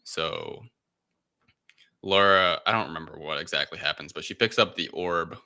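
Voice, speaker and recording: A man with a very low, fry-laden voice and a strikingly bright, forceful edge hosts a casual podcast. He retells events conversationally, often pausing and searching for the right words. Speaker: podcast host. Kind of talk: casual spoken movie plot recap.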